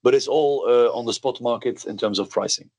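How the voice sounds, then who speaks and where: A man's voice: mid-range voice, fairly quick, a little mellow. Businessman explaining company strategy. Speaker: company executive. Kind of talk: answering questions on an earnings call.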